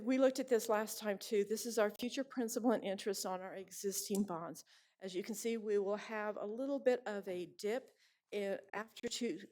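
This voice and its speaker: A woman's voice: medium pitch, a little mellow. A woman finance officer explaining a district budget and bond payments to a board in a plain, explanatory way. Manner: plain, explanatory, hedging estimates. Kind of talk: budget presentation. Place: board meeting room.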